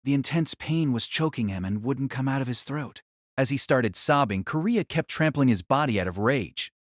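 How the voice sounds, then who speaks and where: A man's voice: mid-range voice, lively intonation, normal speed, no echo, studio quality. A man narrating an audio drama in a dramatic, scripted style that rises and falls with the action.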